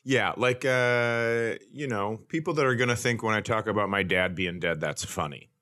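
A man's voice: fairly low pitch, normal speed, pushed and bright. A man co-hosting a comedy podcast in casual chat, with a dry, teasing way of talking. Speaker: podcast co-host.

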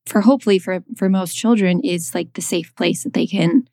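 A woman's voice: fairly low pitch, normal speed, dark tone, dry recording, studio quality. A woman in her thirties co-hosting a podcast, chatting casually with lots of fillers and restarts. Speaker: podcast co-host.

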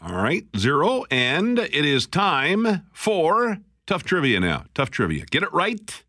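A male radio host with a lively, swinging pitch and a bright, forceful tone. He talks in a chatty, animated way and laughs easily. The sound is close and dry, as from a studio microphone. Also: slow pace, no room sound. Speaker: radio call-in show host. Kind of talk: casual call-in radio chat.

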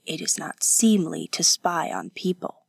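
A woman plays a prim, protective young woman in a novel, older than her years in manner. The voice is low and subdued, slow and reproving. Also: big pitch swings, gravelly, husky, no room sound, studio quality, full character voice. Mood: sharp reproof. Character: stern, proper, weary woman of twenty-four, protective and scornful.

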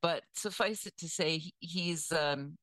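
A woman giving conversational news commentary in a measured, deliberate way, weighing her words as she goes. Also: sits low, fairly bright tone, no echo.

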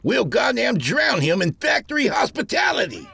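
A man voicing an angry old-timer factory worker, coarse, loud and jeering, in a story reading. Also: extremely high pitch, big pitch swings, moderate pace, creaky, slightly rough, pitched above the performer's own voice, full character voice.

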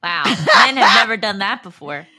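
A woman's voice: medium pitch, lively intonation, moderate pace, pushed and bright, dry recording. A woman co-hosting a podcast, chatting casually with the others. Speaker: podcast co-host. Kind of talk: casual chat.

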